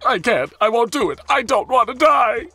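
A man's voice: extremely high pitch, lively intonation, moderate pace, sharp tone, no echo, pitched above the performer's own voice, heavily acted. A man voicing a tiny, terrified living spinning-top toy that sobs and pleads. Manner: sobbing, pleading.

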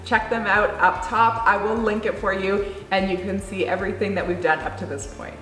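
A woman's voice: mid-range voice, fairly even intonation, fairly quick, a bit bright, soft background music. Chatty woman co-host, echoey room. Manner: chatty, upbeat, teasing asides. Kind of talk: casual DIY vlog chat. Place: home studio, indoors, seated beside co-host.

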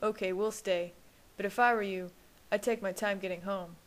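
A woman's voice: medium pitch, fairly even intonation, normal speed, lightly acted. A woman doing a wry, teasing young man. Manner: wry, sarcastic. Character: teasing young man, sarcastic big brother.

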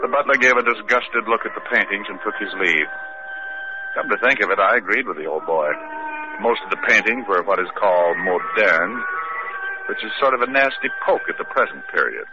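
Male actor as wisecracking radio detective: low voice, dry and deadpan, on a noisy old muffled recording. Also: fairly quick, lightly acted. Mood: dry narration. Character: wisecracking, world-weary male private detective. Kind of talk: old-time radio detective drama, narration and dialogue.

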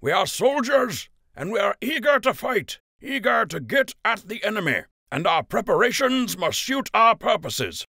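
Stern military rabbit captain acted by a male reader, pitched well up, commanding and rousing, storybook declamation. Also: vocal fry, sharp tone, dry recording, clean studio sound, exaggerated voice. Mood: rousing, martial. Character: stern, rousing rabbit army captain addressing his archers. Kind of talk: audiobook character voice.

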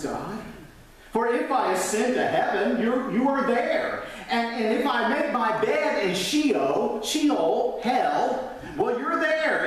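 Dramatic preacher in an echoey church. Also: male voice, high-pitched, far from the mic. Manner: dramatic preaching, swelling up then dropping to near whisper; gestures widely at the pulpit. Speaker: pastor. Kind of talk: sermon. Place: large church sanctuary.

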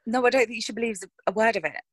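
A woman's voice: fairly high pitch, quick pace, no echo, clean studio sound. An actress talking frankly in a casual interview, thinking aloud and restarting her sentences.

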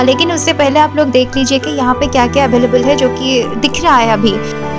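A young woman with a high voice gives a chatty food vlog review, slipping English words in and pausing often on "uh". Music plays clearly beneath her voice. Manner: chatty, mixes English words into Hindi, frequent "uh" pauses. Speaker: food vlogger. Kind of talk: casual restaurant review voice-over. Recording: music playing under the voice.

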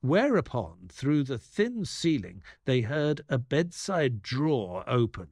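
A man playing a detective's loyal assistant, narrating an audio drama in a measured storytelling way. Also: mid-range voice, big pitch swings, a little mellow, dry recording, full character voice. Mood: grave, narrating.

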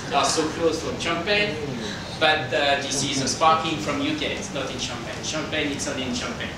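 A man's voice: medium pitch, fairly even intonation. French-accented man presenting in an echoey room. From France. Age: middle-aged. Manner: halting non-native English with simple phrasing. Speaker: winemaker presenting a tasting. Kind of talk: informal presentation to a group. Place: indoor hotel function room. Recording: roomy, distant microphone with background noise.

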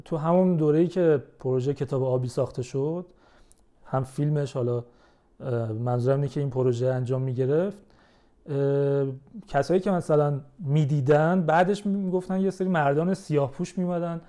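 Young male podcast guest, relaxed mellow timbre, slightly high pitch, explains things conversationally. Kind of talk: casual conversation.

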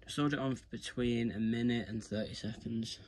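A man's voice: medium pitch, steady tone, a little fast, a little mellow. A man giving a casual, hands-on tutorial, talking informally to his viewers as he works. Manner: informal, addresses viewers as "guys". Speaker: video creator giving a tutorial. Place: indoors, carpeted room. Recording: clear background noise, handling clicks.

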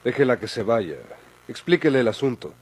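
An actor playing a commanding official giving orders in a Mexican radio soap, with a Mexican accent. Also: male voice, medium pitch, expressive, a little fast, very noisy, natural voice. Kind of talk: Mexican golden-age radio soap acting.

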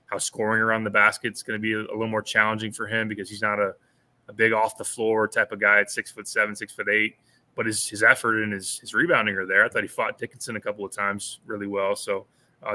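A man in his thirties talks through a game as a podcast co-host on a video call from a small room at home. His voice sits a little low but sounds very bright and forward. He is thoughtful and circles back often, repeating words as he works out each point. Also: flat delivery, fast talker. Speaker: podcast co-host, sports analyst. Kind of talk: casual postgame analysis. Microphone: over-ear headphones with a webcam on a video call.

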